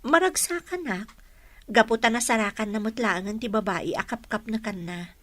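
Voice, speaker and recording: An earnest young Filipino mother in a radio drama. The voice is fairly high and clearly bright, recorded close and dry, with devoted feeling behind it. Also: normal speed, no room sound. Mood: hurt, resolute.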